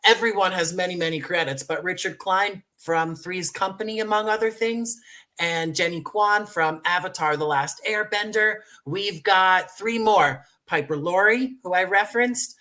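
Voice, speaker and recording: A man's voice: high voice, normal speed, pushed and bright. A man speaking as an interview guest, articulate and carefully enunciated, presenting a project in conversation. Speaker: guest, audio drama creator.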